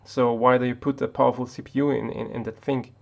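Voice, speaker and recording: A man's voice: mid-range voice, flat delivery, fast talker, creaky, dull audio. A man from Austria, not an English speaker, talking unscripted to the camera as a tech YouTuber, hesitant with many restarts.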